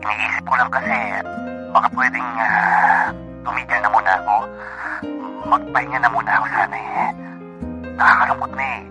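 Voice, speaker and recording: An actor playing a homesick, evasive seafarer husband in naturalistic radio drama, halting and repeating himself. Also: male voice, medium pitch, flat delivery, lightly acted. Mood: weary, hesitant. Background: music bed.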